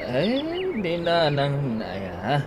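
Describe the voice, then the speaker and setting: A bumbling, apologetic fellow in an Ilocano radio comedy. He drags his words out haltingly with groans and exclamations, his pitch swinging to extremes. The recording has clear background noise. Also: big pitch swings. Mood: groaning, muddled. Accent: Filipino (Ilocano). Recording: noisy radio drama recording.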